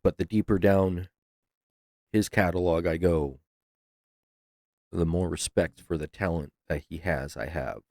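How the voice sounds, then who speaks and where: A man's voice: sits low, measured pace, pauses often, darkish tone, no echo, studio quality. A man hosting a reaction video, talking casually with loose swearing and laughs. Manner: laid-back, swears freely, laughs.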